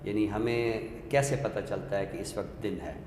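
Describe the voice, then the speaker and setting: A man explains literature as a talk show guest, measured and scholarly, often posing rhetorical questions. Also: fairly low pitch, steady tone. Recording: echoey hall-like room with faint noise.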